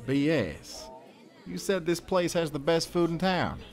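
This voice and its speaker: A man's voice: on the high side, animated, unhurried, some chatter behind. A man playing a polite, curious stranger in town, plainspoken and inquisitive, in an audio drama.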